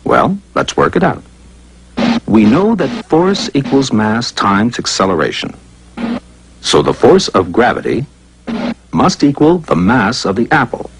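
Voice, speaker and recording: A man's voice: mid-range voice, noisy background. A man narrating a scripted educational cartoon in a playful, conversational way, full of rhetorical questions.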